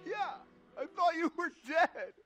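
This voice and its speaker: A man's voice: very high-pitched, expressive, measured pace, fairly bright tone, faint music behind, heavily acted. A man playing a naive, earnest, melodramatic young protégé in a satirical video game film. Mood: distraught. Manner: wide-eyed, overwrought, melodramatic.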